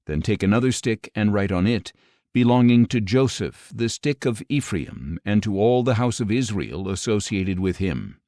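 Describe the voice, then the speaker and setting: A man voicing a commanding, solemn God speaking prophecy in an audio Bible reading, grave, declamatory and measured. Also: fairly low pitch, lively intonation, dark tone, dry recording, clean studio sound, close to an everyday voice. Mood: solemn, promising.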